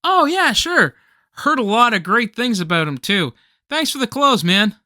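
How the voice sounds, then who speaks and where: An earnest party host in a radio play, acted by a man. The voice is bright and sharp with little room sound, and the delivery is that of someone addressing friends with gratitude. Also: very high-pitched, big pitch swings, a little slow, dry recording, studio quality, exaggerated voice. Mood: grateful, excited.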